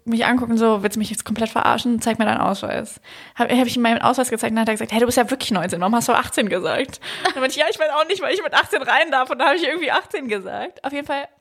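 A woman in her twenties co-hosting a casual chat, chatty and quick to laugh, dropping English words into her talk. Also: a little high, a bit bright, husky. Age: 20s. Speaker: podcast co-host.

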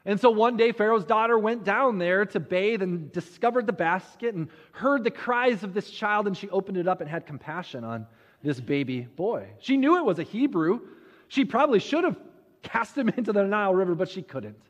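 A man preaching a sermon, telling a Bible story conversationally with dramatic pauses and rhetorical questions. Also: high voice, animated, fairly bright tone. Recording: muffled audio with long hall reverb.

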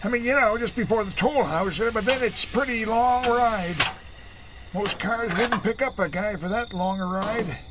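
An actor playing a folksy, chatty roadside station attendant in a 1940s radio drama, with rambling, colloquial speech. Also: male voice, sits high, moderate pace, lightly acted. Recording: noisy vintage 1940s radio recording.

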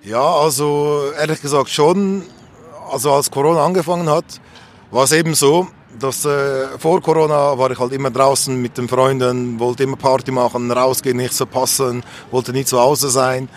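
A man's voice: medium pitch, fairly even intonation, normal speed. A man with a Swiss accent reflecting casually on his own life in a candid, colloquial, rambling way. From Switzerland. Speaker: street interviewee. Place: outdoors on a street. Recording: faint outdoor background noise.

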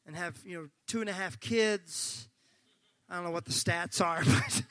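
High-voiced pastor preaching fervently. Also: male voice, no echo. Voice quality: slightly gravelly. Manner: fervent, bursts into shouting; folksy, joking with the congregation. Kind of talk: sermon.

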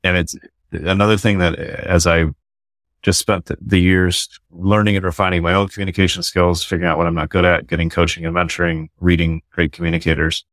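Man, low voice, podcast guest, earnest explaining, restarts sentences, dry close sound. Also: little pitch movement, a little fast, a bit bright, dry recording. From United States. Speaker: guest author and company founder. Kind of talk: casual podcast conversation.

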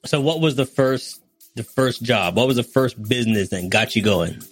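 A man hosting a podcast, asking probing questions and giving quick acknowledgements while the guest talks. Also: medium pitch, lively intonation, faint music behind. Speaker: podcast host. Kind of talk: interviewing a guest.

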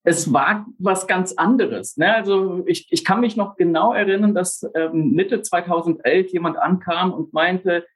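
A man in his forties who grew up in Brazil, talking casually as a startup founder and podcast guest, relaxed and chatty with plenty of fillers and dry self-mocking humor. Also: sits high, measured pace, few pauses, husky. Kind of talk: casual interview talk.

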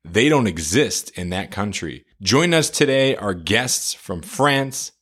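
A man's voice: on the low side, very animated, takes it slowly, a bit bright, husky. A man hosting an educational podcast, reading a scripted introduction and outro in an upbeat, announcer-like way. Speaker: podcast host.

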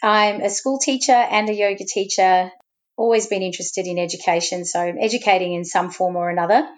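A woman's voice: medium pitch, fairly even intonation, brisk. Chatty Australian woman in her thirties. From Sydney, Australia. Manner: chatty, with restarts and "you know". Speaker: guest, yoga teacher and school teacher. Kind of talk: casual interview conversation.

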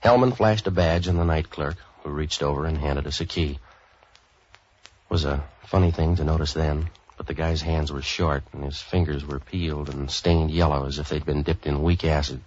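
An actor playing a cynical, street-wise fixer with clipped, deadpan, wisecracking delivery in a 1940s radio noir style. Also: male voice, very deep voice, normal speed. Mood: wry narration. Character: street-wise cynical hardboiled male private fixer narrating his case. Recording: dull old-time radio recording.

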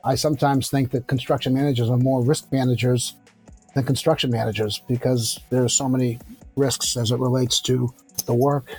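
A man in his sixties, a company founder, answering interview questions in a measured, thoughtful way with frequent fillers and restarts. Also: medium pitch, little pitch movement, darkish tone, slightly rough, faint music behind. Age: 60s.

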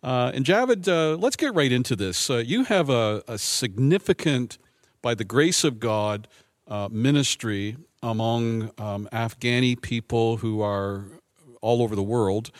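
A man's voice: medium pitch, expressive, unhurried, dry recording, studio quality. A man who is a pastor hosting an interview, asking questions with frequent fillers. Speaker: pastor and host. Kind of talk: interview host.

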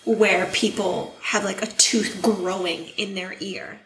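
A woman co-hosting a podcast, losing her voice, telling stories in a chatty, animated way. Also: medium pitch, slow pace, slight background noise. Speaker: podcast co-host. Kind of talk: casual chat. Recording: echoey room.

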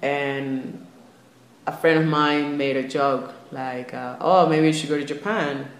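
A woman's voice: deep voice, fairly even intonation, unhurried. Young woman in an echoey room. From Lima, Peru. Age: young adult. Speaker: podcast guest, traveler. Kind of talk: casual personal storytelling. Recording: roomy echo with faint ambient noise.

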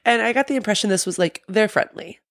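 A woman's voice: medium pitch, fast talker, dry recording, clean studio sound. A young woman with a slight lisp and a congested, sniffly voice, co-hosting a podcast and rambling casually with lots of fillers and laughs. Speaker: podcast co-host. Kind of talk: casual recap chat.

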